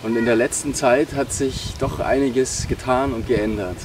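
A man chats to camera in the open air. His mid-range voice is picked up from a distance amid clear noise. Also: mid-range voice, steady tone, normal speed. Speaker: volunteer group member. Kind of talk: casual talk to camera. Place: open countryside outdoors. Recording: distant mic, noisy outdoor sound.

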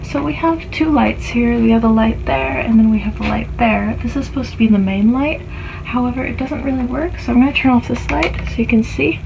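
A woman's voice: a little high, fairly quick, vocal fry. A young woman chatting casually with lots of fillers as she gives a vlog tour of a small ship cabin, filmed on a hand-held phone. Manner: chatty, rambling, with many fillers. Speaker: vlogger. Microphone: phone camera held in hand. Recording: dull lo-fi phone audio with noise.

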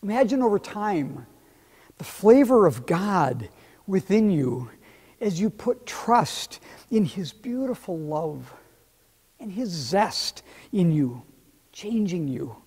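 Middle-aged pastor guy giving a sermon, lapel mic, slow and animated, church reverb hanging on every word. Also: high voice, breathy edge. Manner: expressive, gesturing with both hands. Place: church sanctuary, indoors. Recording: long reverb of a church hall.

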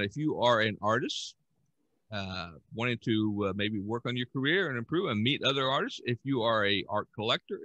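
Older man, bright dry voice, casual podcast host, rambling, chuckling. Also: medium pitch, no room sound. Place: room at home. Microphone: headset on a video call.

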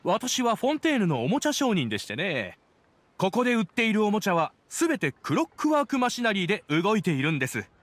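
Casting: courteous young game shopkeeper, high voice, very lively intonation, eager sales patter. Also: male voice, brisk, no echo, full character voice. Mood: eager, selling. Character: polite eager young male foreign toy merchant. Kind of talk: video game voice acting.

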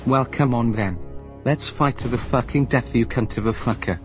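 Man doing cocky cursing villain, mid-pitched, rude and taunting, music underneath. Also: normal speed, close to an everyday voice. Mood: threatening. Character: foul-mouthed arrogant evil villain man. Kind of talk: amateur voice drama.

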